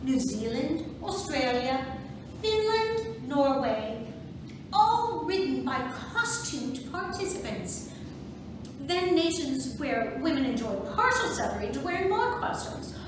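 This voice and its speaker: A proud suffragist organizer played by an amateur woman reader declaims in a high, lively voice at a measured pace. It is a stage reading captured from far off in a very echoey room. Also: noisy background, full character voice. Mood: proud, grand. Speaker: volunteer reader in a museum reading play. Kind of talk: amateur reader's theatre monologue.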